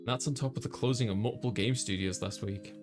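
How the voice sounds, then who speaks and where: A man's voice: on the low side, fast talker. Man reading a game story over music. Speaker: gaming streamer narrating visual novel. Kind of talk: read-aloud story narration with casual chat. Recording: clear music behind the voice.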